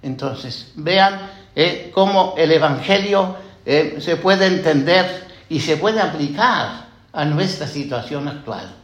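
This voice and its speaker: A man preaching a homily as a priest, reflective and unhurried, often pausing with "eh" and asking questions of the congregation. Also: fairly high pitch, animated, fairly bright tone, echoey room. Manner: slow, reflective, with many "eh" fillers and questions to the congregation. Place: church.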